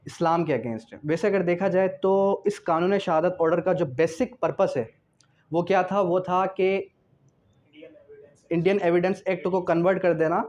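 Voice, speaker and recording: A man's voice: high voice, darkish tone. A man with a Pakistani accent giving an explanatory law lecture, mixing in English and asking questions that he then answers himself. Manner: didactic, asks and answers own questions, slows to read out clauses.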